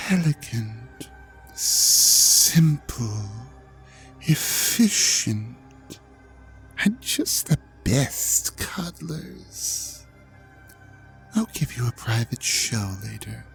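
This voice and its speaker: A performer voicing a seductive, smug ancient dragon god in an intimate audio drama, teasing and coaxing the listener with breathy, half-whispered phrases. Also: mid-range voice, sing-song, extremely slow, pauses often, soft background music, exaggerated voice. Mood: smug, playful.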